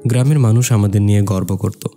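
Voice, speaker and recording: A man playing a low, mellow young storyteller. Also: racing, lightly acted. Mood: nostalgic. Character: young village man, loyal, nostalgic friend and narrator. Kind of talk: dramatized story narration in an amateur cartoon. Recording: music behind the voice.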